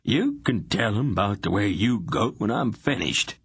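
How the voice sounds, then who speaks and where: A hot-headed male miner , as a narrator plays him, barking his words testily and loudly. The voice is husky, close and dry. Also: on the low side, expressive, moderate pace, dry recording, voice pushed up. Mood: testy. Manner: testy, loud. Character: testy male miner, quick-tempered and loud.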